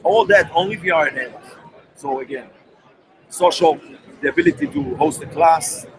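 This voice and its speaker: Brief: man, company representative, fast pitch with restarts, voice a bit high. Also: animated, a crowd in the background, rough recording. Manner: fast, with many restarts and repeated words. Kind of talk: on-the-spot interview.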